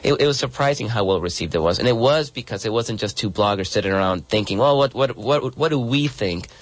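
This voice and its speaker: Earnest man with a low voice. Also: animated, brisk, no echo. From El Salvador. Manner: earnest, frequent restarts and repeated words. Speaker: guest author and blogger. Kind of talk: conversational interview answers.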